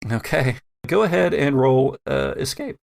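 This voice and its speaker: A man narrating a tabletop horror game as game master, with deliberate, dramatic phrasing and pauses. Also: mid-range voice, expressive, vocal fry.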